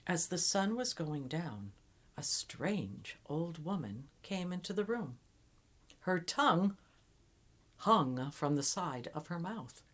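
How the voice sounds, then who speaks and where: A woman telling a folktale aloud in an expressive, dramatic way with deliberate pauses. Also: fairly low pitch. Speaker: storyteller. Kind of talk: oral folktale storytelling, dramatic.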